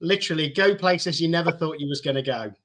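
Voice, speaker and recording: A man's voice: a little high, quick pace, fairly bright tone, slight background noise. A man hosting a casual live-stream chat, upbeat and encouraging, speaking over a video call. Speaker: host.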